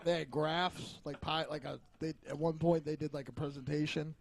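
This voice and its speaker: A man with a high-pitched voice trading casual banter as a podcast co-host. He swears freely and talks in a crude, ranting style, playing at being indignant. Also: steady tone, moderate pace, gravelly, crowd noise. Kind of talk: casual, profane banter among friends.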